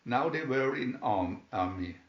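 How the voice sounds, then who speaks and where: A male narrator reads an adventure storybook slowly and deliberately, at a medium pitch. The sound is somewhat muffled, with clear room echo around the voice. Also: lively intonation. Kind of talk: reading a children's adventure story aloud. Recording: dull, echoey room recording.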